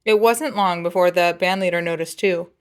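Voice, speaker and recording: A woman's voice: lowish voice, normal speed, clean tone. A woman reading a picture book aloud as a storyteller, with playful, dramatic phrasing and jazzy sound effects.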